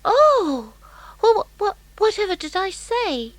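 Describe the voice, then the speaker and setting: A woman playing a soft-hearted, earnest, plaintive little girl in a children's audiobook drama. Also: big pitch swings, unhurried, no room sound, full character voice. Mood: wondering. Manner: high, wondering, plaintive.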